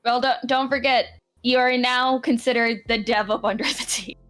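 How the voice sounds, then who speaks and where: A woman's voice: on the high side, steady tone, normal speed, fairly bright tone. A woman chatting casually on a podcast as a co-host, with a giggly way of talking. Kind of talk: casual chat. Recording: background music under voices. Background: background music.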